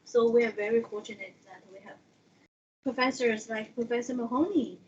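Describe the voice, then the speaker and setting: A woman who is an international education coordinator, presenting slides in a relaxed, conversational way, with Chinese as her first language and slightly non-native phrasing. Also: fairly high pitch, normal speed, lo-fi sound, slight background noise.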